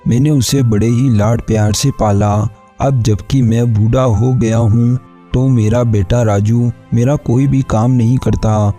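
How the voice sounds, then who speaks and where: Very mellow, weary ageing farmer played by a man. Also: medium pitch, fairly even intonation, unhurried, soft background music, close to an everyday voice. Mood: troubled, pleading. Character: weary, pleading ageing poor farmer father. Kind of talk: Hindi cartoon voice acting.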